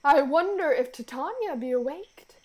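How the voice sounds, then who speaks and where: A woman playing a scheming, commanding fairy king in a radio play, with imperious, deliberate Shakespearean delivery. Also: high-pitched, animated, a little mellow. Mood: expectant.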